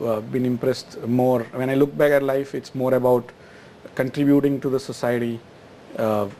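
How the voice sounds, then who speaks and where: A man's voice: medium pitch, steady tone, normal speed, mellow, a little hiss or hum. A middle-aged man from Gujarat, answering interview questions with many fillers and restarts. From Gujarat, India. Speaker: guest, exchange chief executive.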